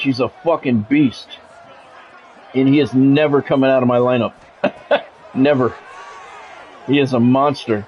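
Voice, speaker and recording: A man's voice: fairly high pitch, dull audio. A man streaming a sports video game, mixing casual chat to viewers with play-by-play commentary and repeating himself as he goes.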